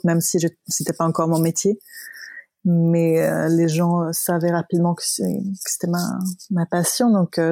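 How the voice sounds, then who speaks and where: A woman's voice: fairly low pitch, dark tone, no room sound. A woman with a light Swedish accent answering interview questions conversationally, often searching for her words. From Sweden. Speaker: podcast guest, naturopath and yoga practitioner.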